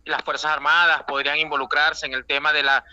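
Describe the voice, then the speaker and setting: Bright Venezuelan-accented man on a lo-fi line. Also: a little high, level tone, brisk, smooth, dry recording. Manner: rambling, emphatic, many fillers and restarts. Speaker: international analyst guest. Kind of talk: political commentary by phone. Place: airport waiting area.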